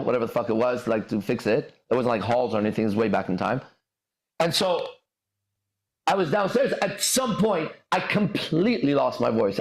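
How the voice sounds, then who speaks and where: Iran-born podcast guy, swoopy lively voice, swears a lot, echoey room. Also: fairly high pitch. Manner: emphatic, profane, drawn-out pauses. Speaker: podcast host. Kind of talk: livestream motivational storytelling.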